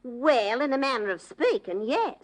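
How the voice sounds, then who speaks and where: A gossipy working-class English housewife in an old radio drama. Her fairly high voice swings widely in pitch as she rambles and justifies herself in homely phrases. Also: sing-song, normal speed. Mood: defensive, gossipy. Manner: rambling, folksy, self-justifying. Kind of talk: old-time radio character acting.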